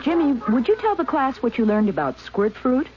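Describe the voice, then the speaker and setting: Prim schoolteacher in a radio ad, swoopy highish voice, noisy recording. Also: female voice, sing-song, normal speed, dull audio. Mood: prim, instructive. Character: female schoolteacher leading a class. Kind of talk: scripted 1970s radio ad acting.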